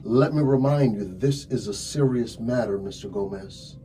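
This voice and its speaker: A man playing a calm, methodical, sardonic police detective in an ASMR roleplay, talking deliberately with drawn-out phrasing and wry asides. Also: mid-range voice, close to an everyday voice. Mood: pressing, sardonic. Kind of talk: slow ASMR roleplay acting. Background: music.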